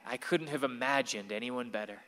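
A man's voice: mid-range voice, a little fast, bright, forceful tone, natural voice. A man playing a wistful, awkward young man who confides his thoughts in a radio play. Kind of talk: radio play monologue and dialogue. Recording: reverberant hall sound.

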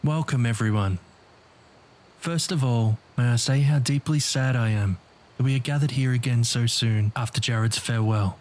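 An actor playing a gentle, solemn minister with a formal, condoling way of talking in an audio drama. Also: male voice, mid-range voice, moderate pace, close to an everyday voice. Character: gentle, solemn minister leading a graveside funeral.

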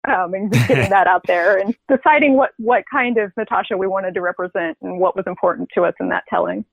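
A woman's voice: mid-range voice, fast talker, creaky, no echo. A woman, a head writer, talking enthusiastically and laughing easily in a casual interview. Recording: tinny phone-like line.

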